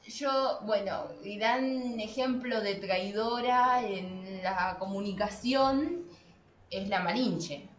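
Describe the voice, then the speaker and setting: Woman, mid-pitched, casual podcast co-host, playful and wry, roomy echo. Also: takes it slowly. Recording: roomy echo, clearly noisy background.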